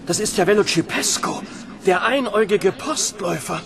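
Village gendarme, very high voice, radio drama, crowd murmur behind. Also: male voice, expressive, moderate pace, creaky. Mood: grave alarm. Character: village gendarme gravely addressing peasants over a corpse. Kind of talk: literary radio play acting.

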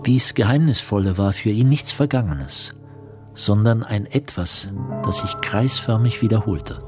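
A man narrating a literary radio play as a grave storyteller, measured and expressive in his delivery. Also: on the low side, music in the background, close to an everyday voice. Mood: grave, dreamlike.

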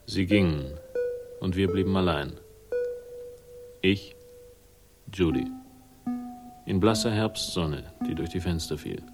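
An actor playing a weary, wry sleuth who narrates a 1960s German radio crime drama in a laconic, dryly sardonic way. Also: male voice, low-pitched, takes it slowly, pauses often, music in the background. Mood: wry, melancholy.

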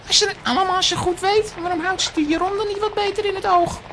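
A woman's voice: very high voice, fairly even intonation, fast, a bit bright, a little hiss or hum. A woman playing a cocky, money-minded boy with a brash, mocking manner in a children's audio drama. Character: cocky money-minded neighbour boy, street-smart and cheeky.

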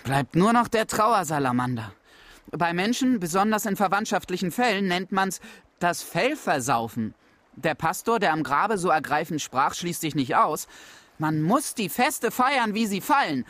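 A man's voice: high voice, sing-song, normal speed, fairly bright tone. An actor playing a bitter man full of gallows humour, speechifying sardonically in a German radio drama. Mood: sardonic. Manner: sardonic, mock-festive speechifying.

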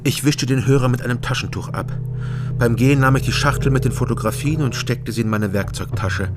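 An actor playing a wry East Berlin private detective in a German radio crime drama. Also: male voice, medium pitch, a little fast, music in the background, close to an everyday voice. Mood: matter-of-fact, tense.

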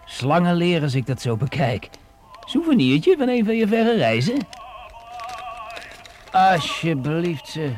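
An actor playing a cocky, menacing young hitchhiker robber in a radio drama, chatty, streetwise and taunting. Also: male voice, on the high side, very animated, takes it slowly, long pauses, a little mellow, faint music behind. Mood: gloating. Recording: noisy radio play, vehicle noise behind.

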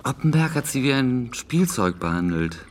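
An actor playing a famous film actor who is indignant and defensive. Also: male voice, medium pitch, very animated, moderate pace, soft background music, close to an everyday voice.

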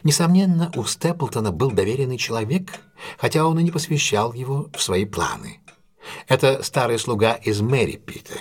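An actor playing a composed, analytical male detective in an audio drama, explaining things in a deliberate, self-assured way. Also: medium pitch, big pitch swings. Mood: composed, explanatory. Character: composed, analytical male English detective recounting a solved case.

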